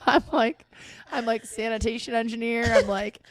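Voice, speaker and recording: A young woman co-hosting a podcast in casual chat, talking fast, laughing often and acting out quotes. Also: fairly high pitch, steady tone, low-quality recording. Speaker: podcast co-host.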